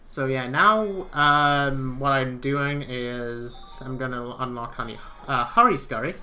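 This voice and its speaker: A man's voice: mid-range voice, slow pace, pushed and bright, faint music behind. A man from Wales whose first language is Welsh, chatting and joking casually while playing a game live. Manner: chatty, joking, self-deprecating. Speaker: speedrunner.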